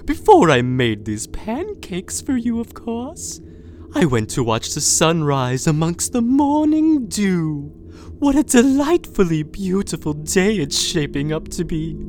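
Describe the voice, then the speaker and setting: A woman playing a sweet-talking but unstable female captor in an audio drama, using syrupy baby talk that breaks into shrill screaming fits. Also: big pitch swings, unhurried, a little mellow, a little hiss or hum. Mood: sugary, doting.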